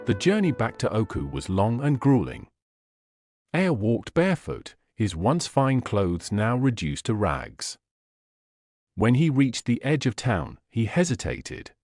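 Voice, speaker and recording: A man narrating a scripted folktale in a dramatic, measured storytelling manner. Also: medium pitch, very animated, a little mellow, no echo, studio quality.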